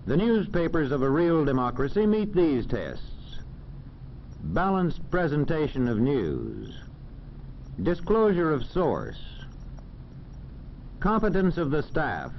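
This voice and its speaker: Formal American male narrator for a 1940s classroom film, animated delivery, dull old soundtrack. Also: fairly high pitch, slight background noise. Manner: formal, measured, didactic.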